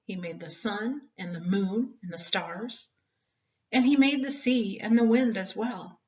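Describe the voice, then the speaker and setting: A woman reading a children's Bible story expressively, with dramatic, emphatic phrasing meant for young listeners. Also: mid-range voice. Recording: dull, distant home-style recording.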